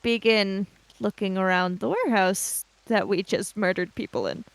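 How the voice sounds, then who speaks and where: A woman improvising narration as a player in a tabletop game, in a halting, thoughtful manner. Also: medium pitch, fairly even intonation, no room sound, rough recording.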